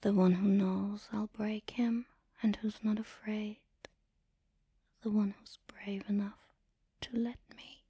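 A woman speaking in an intimate, commanding and teasing erotic ASMR style, in a breathy whisper close to the mic. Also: medium pitch, flat delivery, slow, mellow, dry recording. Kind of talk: erotic ASMR, guided audio roleplay.

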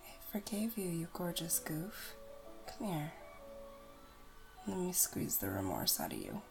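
Woman whispering real slow and tender, low-ish gravelly voice, music in the back. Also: rough recording. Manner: soft breathy whispering, tender and coaxing. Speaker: audio roleplay performer. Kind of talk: whispered intimate audio roleplay.

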